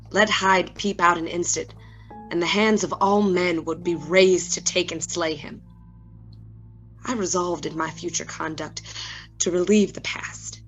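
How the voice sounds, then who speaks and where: A remorseful gentleman scientist acted by a woman, low in pitch and anguished. The delivery is formal and theatrical, in gothic radio-play style, with faint music behind it. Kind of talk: gothic radio drama acting.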